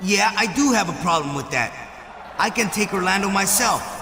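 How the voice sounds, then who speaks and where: A man's voice: high voice, animated, normal speed. A man playing an assertive, questioning wrestler in a video game cutscene. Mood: indignant. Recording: echoey room, noisy background.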